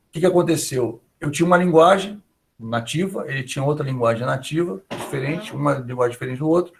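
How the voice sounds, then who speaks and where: A man's voice: a little high, gravelly. A male professor with a Brazilian Portuguese accent, lecturing in a chatty, conversational way and often tagging his sentences with little check-in questions. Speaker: university professor. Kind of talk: online class lecture, conversational.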